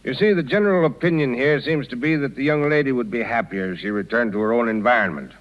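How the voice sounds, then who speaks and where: Man acting pompous disapproving town gent, formal measured talk, mid-pitched, muffled 30s radio play. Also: close to an everyday voice. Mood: stern, pompous. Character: stuffy, coldly polite small-town gentleman, disapproving, pressuring. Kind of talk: 1930s radio drama acting. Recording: muffled 1930s radio broadcast.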